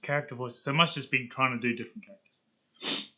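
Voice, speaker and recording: A man's voice: medium pitch, steady tone, quick pace, bright, forceful tone. A man hosting a video in a casual, rambling chat, thinking aloud as he goes. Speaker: video host.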